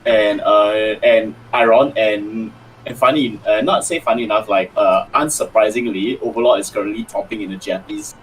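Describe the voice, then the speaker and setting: A man talking casually as a panelist on a card game stream, animated and quick to laugh, with many restarts. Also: lowish voice, a little hiss or hum, poor audio. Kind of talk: casual chat.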